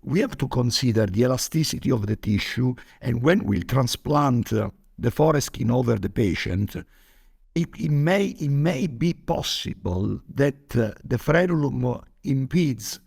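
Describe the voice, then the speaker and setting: Man, gravelly and rough-edged voice, mid pitch, tentative informal explaining, dry recording. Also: expressive, a little slow, a little mellow, no room sound. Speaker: organization founder answering donor questions. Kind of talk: informal explanatory Q&A on a conference call.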